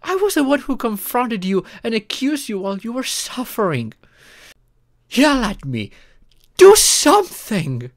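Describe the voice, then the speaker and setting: A performer voicing a caring, earnest young romantic partner in an intimate ASMR roleplay, with deliberate pauses. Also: mid-range voice, wildly swooping pitch. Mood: provoking, shouting.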